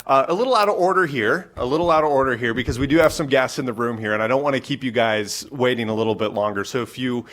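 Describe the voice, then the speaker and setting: A man chairing a board meeting, casual and halting, with many fillers and repeated words. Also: mid-range voice, fairly bright tone.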